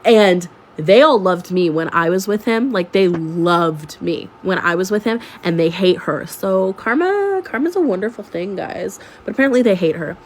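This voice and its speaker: A young woman sounds stuffed-up from a cold. She tells her story in an animated, sarcastic way and leans into the drama. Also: medium pitch, moderate pace, few pauses. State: sick, congested. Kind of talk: storytime vlog.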